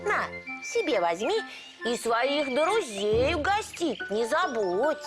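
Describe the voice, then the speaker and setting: A woman's voice: high voice, sing-song, slow, over music, exaggerated voice. A woman voicing a kindly, weary old granny squirrel in a children's cartoon, sighing and grateful.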